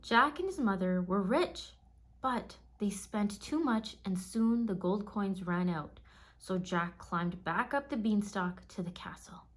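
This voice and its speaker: Slow-paced woman reading a children's story. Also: mid-range voice, expressive. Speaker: storybook narrator. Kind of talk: scripted children's read-aloud narration.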